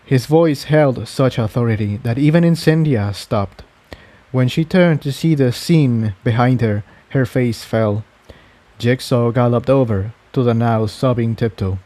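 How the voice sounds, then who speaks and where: A man's voice: medium pitch, a little slow, warm tone, no echo. A man reading a scripted adventure story aloud as the narrator. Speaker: fan fiction narrator.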